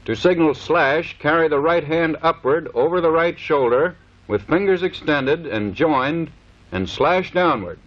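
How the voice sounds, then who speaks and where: A man's voice: a little high, measured pace. An American man giving formal, clipped, scripted instructional narration in the manner of a 1930s military training film. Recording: dull vintage 1930s film soundtrack.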